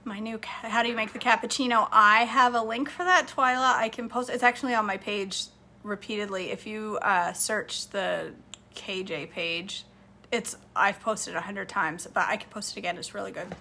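A woman's voice: mid-range voice, fairly even intonation, bright, forceful tone, a little hiss or hum. A woman in her forties from Maine, chatting casually and rambling on a livestream from her home kitchen as she answers viewer comments. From Maine, USA. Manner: chatty, rambling, quick to laugh. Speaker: home cook and livestream host. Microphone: phone camera at arm's length.

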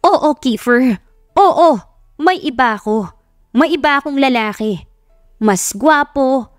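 Woman acting a scornful, high-voiced fed-up wife. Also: no echo, clean studio sound. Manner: emphatic, cutting, repeating herself. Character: fed-up, scornful young wife ending her marriage.

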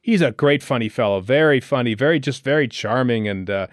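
A man's voice: medium pitch, lively intonation, brisk, no echo, studio quality. A man co-hosting a podcast, chatting casually and thinking aloud as he comments on what he is watching. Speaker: podcast co-host. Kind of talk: casual film commentary chat.

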